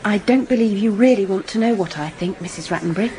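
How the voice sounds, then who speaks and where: A woman's voice: mid-range voice, quick pace, warm tone, a little hiss or hum, close to an everyday voice. An actress playing a deferential, uneasy lady's companion in a period radio drama. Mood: uneasy, disapproving.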